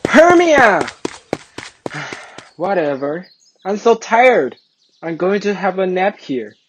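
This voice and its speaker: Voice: mid-range voice, big pitch swings, takes it slowly, a little hiss or hum. A performer playing a cold, hot-tempered young man in an amateur radio drama, blunt and harsh. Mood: defensive, weary.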